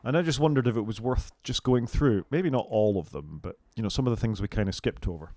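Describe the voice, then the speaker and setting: A British man co-hosting a casual tech podcast, going through a list of features and giving quick, opinionated takes. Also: fairly low pitch, mellow, dry recording. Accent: British English. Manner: fast-talking, opinionated, goes down the list item by item. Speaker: podcast co-host.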